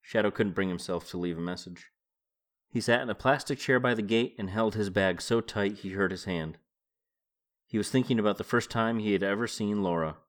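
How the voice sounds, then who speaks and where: Lower-voiced man hosting a solo book podcast. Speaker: podcast host. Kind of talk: book read-aloud and casual solo commentary.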